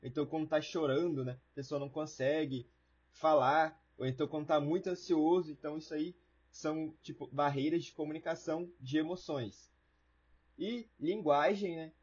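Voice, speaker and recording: Husky Brazilian man teaching informally. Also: on the high side, fairly even intonation, moderate pace, a little mellow, dull audio. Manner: casual, colloquial, explanatory. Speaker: online course teacher. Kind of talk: informal lecture with everyday examples.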